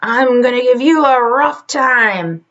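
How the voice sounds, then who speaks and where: A woman plays a big, blustery rhinoceros in a children's storybook. The voice is high and bright, swinging widely between unkind threats and giggly gasps. The recording is a little dull. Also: slow pace. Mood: gruff, unkind. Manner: growly threats, breathless giggly gasping. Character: large unkind male rhinoceros who collapses into helpless giggles. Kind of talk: storybook read-aloud character voice.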